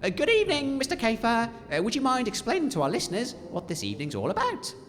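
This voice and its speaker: A man playing an excitable, easily frightened radio presenter in a comedy audio drama, stammering and panicky. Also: very high voice, quick pace, slight background noise, heavily acted. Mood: nervous, presenting. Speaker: radio show host.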